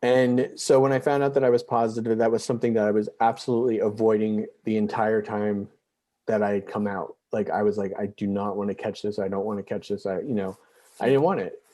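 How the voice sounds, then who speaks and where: A man in his forties telling his own life story as an interview guest, halting, with frequent restarts and fillers. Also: on the low side, fairly even intonation, warm tone. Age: 40s. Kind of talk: candid personal storytelling.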